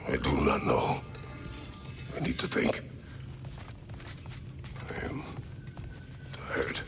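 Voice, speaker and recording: A man voicing a stern, weary Spartan warrior god in a video game, terse, formal and grave, with a very deep gravelly voice. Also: expressive, drawn-out words, a bit bright, whispered, faint music behind, exaggerated voice. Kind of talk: video game character acting.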